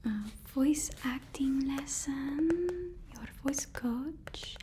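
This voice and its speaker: A woman whispering an ASMR roleplay as a deferential, flattering personal assistant, often repeating her words softly and hesitantly. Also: sits high, drawn-out words, slight background noise. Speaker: ASMR performer.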